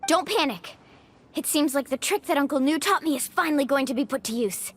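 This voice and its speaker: A woman voicing an upbeat, confident young adventure guide in an anime-style video game, lively and take-charge. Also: sits high, fairly quick, slight background noise. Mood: focused.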